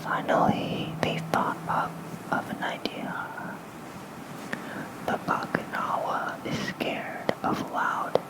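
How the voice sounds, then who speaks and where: A very soft, fully whispered voice reads bedtime lore in an ASMR style. The delivery is slow, gentle and soothing. It is breathy and close on a fluffy mic. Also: low-quality recording. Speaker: ASMR host reading lore. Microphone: close fluffy-covered microphone.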